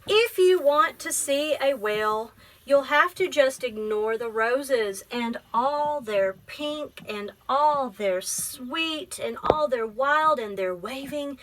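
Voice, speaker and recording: A woman teaching and reading aloud to children in a high, bright voice. She explains simply and asks guiding questions, with some faint noise behind her. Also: lively intonation, takes it slowly, low-quality recording. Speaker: library teacher.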